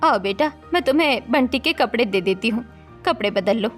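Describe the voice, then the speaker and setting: A woman's voice: on the high side, expressive, moderate pace, soft background music, natural voice. Woman acting an exasperated, scolding mother. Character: exasperated mother scolding her mischievous daughter. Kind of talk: Hindi cartoon story acting.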